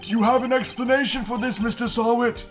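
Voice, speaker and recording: A man voicing an elderly, stern and dignified judge in a video game fan dub, speaking formally and with measured pomp. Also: extremely high pitch, fairly even intonation. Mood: solemn. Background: game music.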